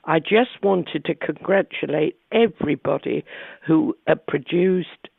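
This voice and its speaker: Very creaky woman on a phone line. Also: low-pitched, unhurried, no echo. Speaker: listener phoning in. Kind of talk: appreciative listener comment. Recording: narrow, tinny phone line.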